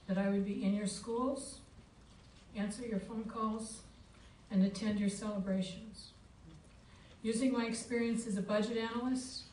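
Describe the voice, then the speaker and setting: A woman's voice: mid-range voice, darkish tone. A woman running for re-election to a school board, reading a prepared campaign speech at a public forum. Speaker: school board incumbent candidate. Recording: echoey boardroom from far off, faint noise.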